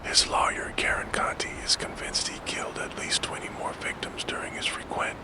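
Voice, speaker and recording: A man telling a true crime story for sleep in a fully unvoiced ASMR whisper, with slow, suspenseful, dramatic phrasing. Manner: fully unvoiced whisper throughout, soft and deliberate. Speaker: narrator.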